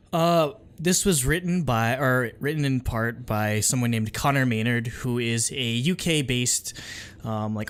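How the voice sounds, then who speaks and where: Emphatic animated male podcast co-host. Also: mid-range voice, clear, resonant tone. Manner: emphatic and animated, swears, talks over others. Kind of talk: casual music review chat.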